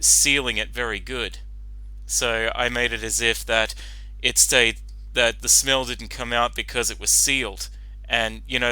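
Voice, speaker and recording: Very bright-voiced man giving casual commentary. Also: medium pitch, dry recording, poor audio. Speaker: commentator, the story's author.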